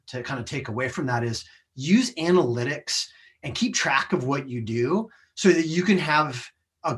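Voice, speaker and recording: An American man in a podcast interview, talking conversationally as a sales professional. He explains things step by step with a mid-range voice and small checking phrases. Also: medium pitch. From United States.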